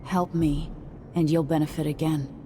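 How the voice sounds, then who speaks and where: An actress playing a guarded, world-weary masked infiltrator in a video game, speaking in a cool, measured, dryly skeptical way. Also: female voice, sits low, flat delivery, background noise, lightly acted. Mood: cool, purposeful. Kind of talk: dramatic video game dialogue.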